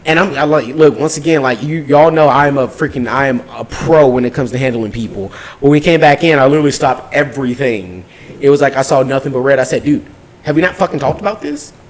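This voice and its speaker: A man telling a story in an animated, casual way, swearing freely in slangy speech and acting out both sides of arguments. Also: fairly high pitch. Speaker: podcast host recapping his weekend.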